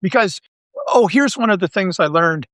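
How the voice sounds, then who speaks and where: Higher-pitched middle-aged man, halting talker. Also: expressive, a bit bright, no room sound. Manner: halting, repeats and restarts phrases as he thinks aloud. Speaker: guest, audio drama writer and creator. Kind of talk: casual interview talk.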